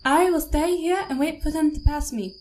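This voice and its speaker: A woman's voice: sits high, moderate pace, darkish tone, a little hiss or hum, natural voice. An actress playing a composed, dutiful female scout in an audio drama, businesslike, with night ambience behind her. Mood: composed, alert.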